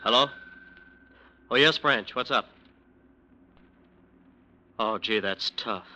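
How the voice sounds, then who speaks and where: A defensive lawyer. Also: male voice, medium pitch, slow pace, sharp tone. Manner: defensive, smooth-talking. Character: defensive, self-justifying male lawyer. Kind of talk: 1940s radio drama acting.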